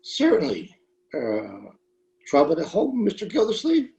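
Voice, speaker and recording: Creaky, mild old shopkeeper. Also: male voice, fairly high pitch, huge pitch swings, darkish tone, heavily acted. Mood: obliging. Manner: drawn-out, hesitant, evasively polite. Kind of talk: old-time radio comedy acting. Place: home, recorded over video call. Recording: roomy, dull video-call recording.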